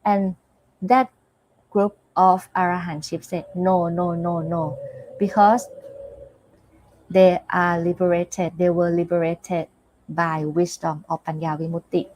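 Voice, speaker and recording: Slow, hesitant Thai-accented woman teacher. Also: on the low side, level tone, darkish tone, slight background noise, low-quality recording. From Thailand. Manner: hesitant, searches for words with many fillers. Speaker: Buddhist nun teacher. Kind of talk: dharma teaching with live Q&A.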